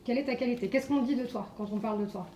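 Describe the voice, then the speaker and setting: A woman interviewer asking brisk, short questions. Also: fairly high pitch, warm tone. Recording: roomy, distant, faint noise.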